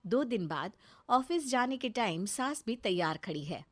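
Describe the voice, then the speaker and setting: A woman's voice: a little high, sing-song, a little slow. A woman narrating a scripted story as a storyteller.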